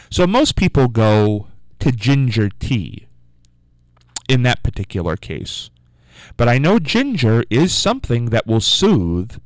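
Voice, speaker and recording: Man, radio call-in host, casual musing talk, clear resonant voice, dry studio mic. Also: mid-range voice, dry recording, low-quality recording. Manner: rambling, musing, asks listeners questions. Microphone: studio microphone.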